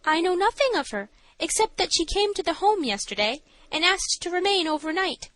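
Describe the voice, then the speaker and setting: A narrator plays a timid woman matron testifying in a storybook courtroom. Her voice is very high and bright, with an earnest, anxious manner, heard close and dry. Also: expressive, moderate pace, no room sound, poor audio. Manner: pale, trembling, earnest. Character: timid female assistant matron giving testimony.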